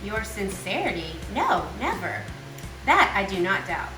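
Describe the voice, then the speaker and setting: A woman's voice: medium pitch, sing-song, measured pace. A woman playing a wry, doubtful, lofty lady in a stagy poetry performance, speaking with formal wit. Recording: echoey room, music behind.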